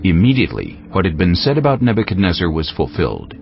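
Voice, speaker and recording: A man's voice: sits low, wildly swooping pitch, fast, creaky. A man narrating a dramatized scripture reading as a scripted storyteller. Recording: muffled, with faint music.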